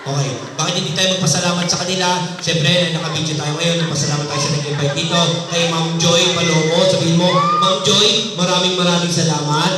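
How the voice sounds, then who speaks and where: A man's voice: fairly high pitch, fairly even intonation, quick pace, hardly any pauses. A man performing as a ventriloquist for children, giving bossy, mock-exasperated comedic patter to a live audience. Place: echoey hall, live children's show. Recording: echoey hall, distant mic, loud crowd noise. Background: noisy crowd.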